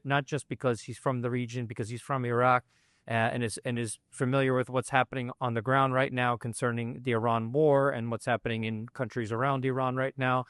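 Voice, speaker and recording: A man hosting a podcast over a video call, speaking at a middle pitch in a dry, close sound. He talks in a measured, courteous way that sometimes halts and hesitates. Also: no room sound. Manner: measured, courteous, slightly halting. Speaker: podcast host. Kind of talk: interview introduction and questions.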